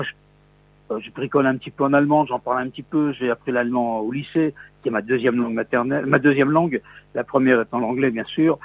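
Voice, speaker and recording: Older radio host, man, husky voice, halting speech full of fillers, slightly dull sound. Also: mid-range voice. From Lorraine, France. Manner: hesitant, many fillers and restarts. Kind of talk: interview, reminiscing.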